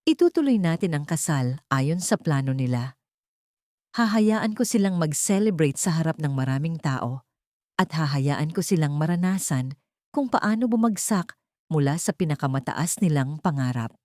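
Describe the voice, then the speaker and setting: Female narrator as a betrayed bride in a story drama: firm, vengeful, lower-pitched, dry recording. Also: animated, normal speed, darkish tone, dry recording. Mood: cold resolve.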